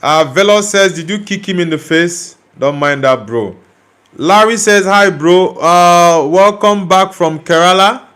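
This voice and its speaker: A man's voice: high-pitched, a little slow, fairly bright tone, clear, resonant tone. Nigerian man hosting a football live chat. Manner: reads out viewer comments and answers them directly, teasing and blunt. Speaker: livestream host reading chat.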